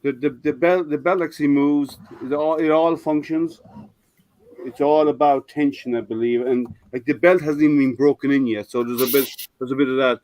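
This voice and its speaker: Older man, a bit scratchy and halting. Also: medium pitch, fairly even intonation, a little mellow. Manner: halting, many restarts and fillers while explaining. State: slightly scratchy voice. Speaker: streamer showing his 3D printer build. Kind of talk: casual live-stream chat.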